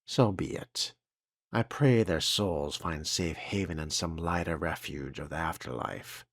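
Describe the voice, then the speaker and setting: A man voicing a weary, resigned foreman's letter in a game, with solemn, formal and deliberate delivery. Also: deep voice, no room sound, clean studio sound. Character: weary, resigned old-time utility foreman reading his letter. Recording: loud music behind the voice.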